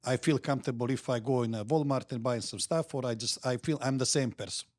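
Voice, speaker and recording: A middle-aged man from Montenegro with a Montenegrin accent, talking as a guest in an interview in halting, repetitive, simple phrases. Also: mid-range voice. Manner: halting, repeats words, simple grammar. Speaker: guest entrepreneur. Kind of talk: podcast interview.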